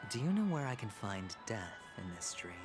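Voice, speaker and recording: A man playing a sly young gambler in a video game, smug, unhurried and theatrical in delivery. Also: lowish voice, big pitch swings, soft background music. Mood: cool, probing.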